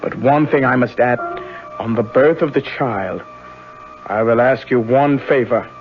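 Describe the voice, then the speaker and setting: An actor playing a mysterious, controlled healer in a radio drama, deliberate and commanding. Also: male voice, mid-range voice, soft background music, close to an everyday voice. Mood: instructing. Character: mysterious, controlled male healer dealing in talismans. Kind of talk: 1970s radio drama acting. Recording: dull muffled vintage radio broadcast.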